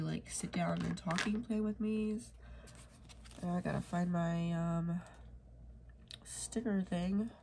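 A woman's voice: fairly low pitch, vocal fry, background noise. A young woman chatting casually while filling in her planner, sniffly from a cold and rambling, sometimes dropping to a whisper. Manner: rambling, soft, drifts into whispers while working. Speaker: planner vlogger. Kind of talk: casual plan-with-me chat.

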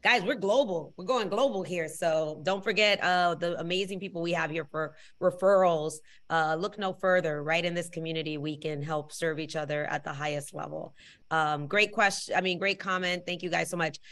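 Woman host, 30s, upbeat and encouraging on a webcam call, slightly low voice. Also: normal speed, a bit bright. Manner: enthusiastic, peppers speech with Spanish words. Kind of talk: upbeat video call hosting. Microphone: video call webcam.

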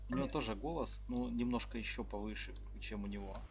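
A man's voice: on the low side, quick pace, highs cut off. A man on casual gaming voice chat, telling rambling stories full of swearing while playing a raid. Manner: rambling storytelling, heavy swearing.

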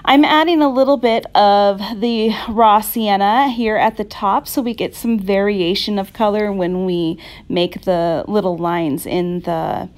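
A woman giving a casual painting tutorial in an unhurried, halting, reassuring way. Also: mid-range voice, steady tone. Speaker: art instructor. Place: indoors at a craft table.

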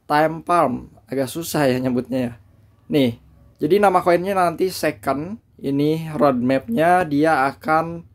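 Casting note: relaxed male host, voice a touch high, talks viewers through a screen recording. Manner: relaxed, chatty, addresses viewers as guys. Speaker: crypto channel host. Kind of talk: casual screen-recorded walkthrough. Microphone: voice-over on screen recording.

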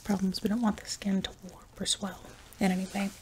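A young adult woman in a whispered ASMR medical roleplay, breathy and soft, giving reassuring step-by-step instructions up close. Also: mid-range voice, little pitch movement, brisk, a little hiss or hum. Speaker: ASMR roleplayer playing an anesthesiologist.

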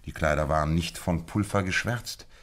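A man acting as a methodical detective in a radio play, with a low voice. He lectures precisely and with complete self-assurance, laying out his reasoning step by step. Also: normal speed, lightly acted. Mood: explanatory, assured. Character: confident, methodical detective explaining his deductions.

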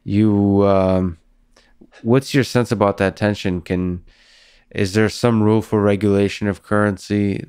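A man in his thirties from Russia, hosting a podcast interview and asking slow, measured questions into a boom-arm studio mic in a small room. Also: fairly even intonation, darkish tone, smooth, no echo. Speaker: podcast host.

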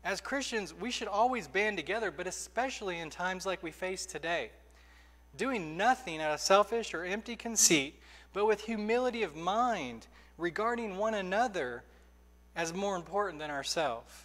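A man in his thirties preaching a sermon from a church pulpit, earnest and emphatic, slowing down to stress key phrases. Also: high voice, expressive, a bit bright. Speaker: pastor. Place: church sanctuary, indoors. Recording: reverberant church hall.

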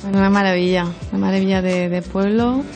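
A woman's voice: medium pitch, steady tone, fast talker, a little mellow, faint music behind. A woman from Barcelona, a course student, chatting casually and enthusiastically in an interview. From Barcelona, Spain.

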